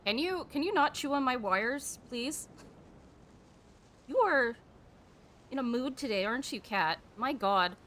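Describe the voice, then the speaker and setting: Female game streamer, casual and reactive, leisurely delivery, bright tone, faint background rumble. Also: animated, a little slow, pauses often. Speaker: streamer playing a video game. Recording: background noise with low rumble.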